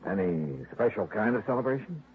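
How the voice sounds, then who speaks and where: An actor playing a dry, patient insurance investigator in 1950s radio drama style, heard through a tinny old broadcast. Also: male voice, fairly low pitch, very animated, brisk, a little hiss or hum. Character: wry, patient insurance detective.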